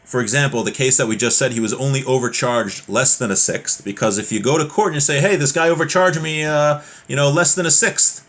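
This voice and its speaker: A man's voice: medium pitch, steady tone, a little fast, few pauses, a bit bright. A man teaching a religious class informally, reading from a text and explaining it conversationally with everyday examples.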